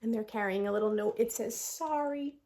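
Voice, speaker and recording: A woman reading a picture book aloud for young children in an expressive, sing-song storytelling manner, adding her own asides. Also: mid-range voice, fast talker. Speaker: children's read-aloud host.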